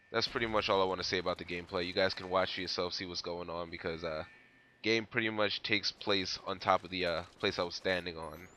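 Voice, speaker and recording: Casting note: young male gameplay commentator, deep but bright-edged, stuffy from a cold, laid-back rambling with fillers. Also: a little hiss or hum. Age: young adult.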